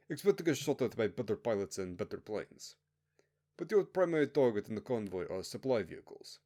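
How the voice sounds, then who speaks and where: A man's voice: mid-range voice, mellow, voice pushed up, lightly acted. Man playing a harsh, scornful base commander.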